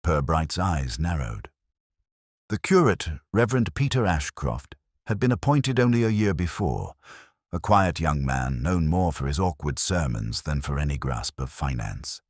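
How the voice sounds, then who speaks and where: A man's voice: extremely low pitch, sing-song, a little slow, dry recording, studio quality. A man reading a scripted mystery story as its narrator.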